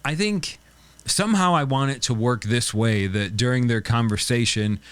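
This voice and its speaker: A man's voice: medium pitch, normal speed, fairly bright tone, smooth. A man co-hosting a podcast, improvising a silly story and playfully acting out lines for the characters. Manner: playful, acts out character dialogue. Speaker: podcast co-host.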